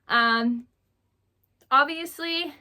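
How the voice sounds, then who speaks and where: A woman's voice: high voice, drawn-out words, pushed and bright. A young woman in casual book-review chat, chatty and rambling, sounding a little stuffy because she is under the weather. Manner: chatty, rambling, laughs often. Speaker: book vlogger.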